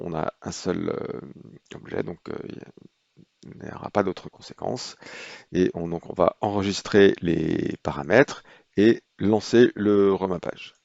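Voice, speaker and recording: Low gravelly guy doing a software tutorial, lots of hesitating, dry dull-sounding mic. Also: no echo. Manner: unhurried, explanatory, frequent hesitations. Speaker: software trainer. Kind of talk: screen-recorded software tutorial.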